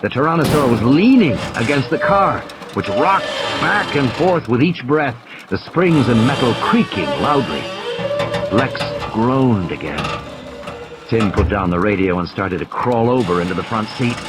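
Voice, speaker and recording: A man's voice: medium pitch, expressive, a little slow, over loud music. A man reading a thriller audiobook as a dramatic scripted narration. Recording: clear rain and storm effects behind. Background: rain, vehicle and ambience sound effects.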